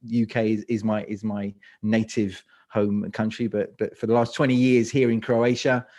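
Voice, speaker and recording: A man's voice: lowish voice, level tone, moderate pace, mellow. A man with a London accent, a speaker coach answering interview questions, often restarting and repeating words as he thinks. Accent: London English. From England.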